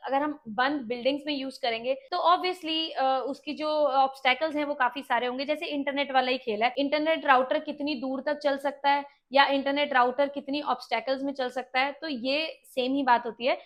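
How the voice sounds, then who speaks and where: A high-pitched woman with an Indian accent presents a gadget review. She explains things step by step in a conversational way, dropping English words into her sentences. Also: a bit bright. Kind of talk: walkie-talkie review with demonstration.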